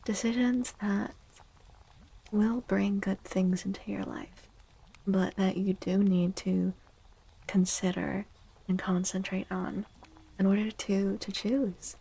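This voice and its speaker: A woman speaking softly and gently in a breathy near-whisper, chatting casually as an ASMR streamer giving readings. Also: medium pitch, steady tone, takes it slowly, long pauses, low-quality recording. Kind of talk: soft-spoken ASMR, casual chat.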